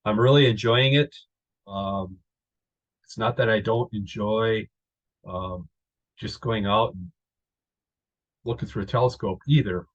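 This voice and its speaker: A middle-aged man from North Dakota walks through his slides, stopping and restarting with frequent ums. His voice sits fairly low. The webinar audio is dull and lo-fi. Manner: hesitant, many ums and restarts. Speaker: guest presenter, astrophotographer.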